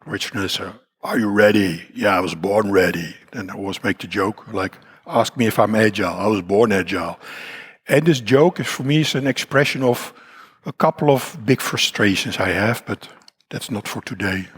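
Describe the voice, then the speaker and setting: A man from the Netherlands with a Dutch accent and a croaky voice, speaking at a conference in a rambling, self-deprecating way with many fillers and restarts. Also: lowish voice, moderate pace. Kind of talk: conference talk. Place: conference hall.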